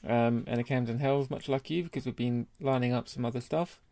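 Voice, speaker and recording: A man's voice: medium pitch, fairly even intonation, a little fast, gravelly, mellow, no echo. British man, blokey, dry close sound. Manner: blokey, sweary, informal. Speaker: podcast co-host. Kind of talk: casual beer podcast chat.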